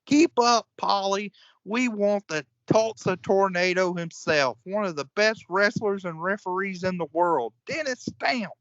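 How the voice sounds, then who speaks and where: A man's voice: high voice, measured pace, a bit bright, dry recording, highs cut off. A man acting in a comedic audio play as a crude, hot-tempered old-school wrestler, folksy and profane. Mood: blustering.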